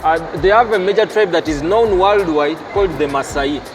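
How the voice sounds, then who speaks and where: Ugandan man, casual explainer, slightly high voice, music behind. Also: brisk. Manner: expansive, explains at length. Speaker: guest. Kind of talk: casual chat.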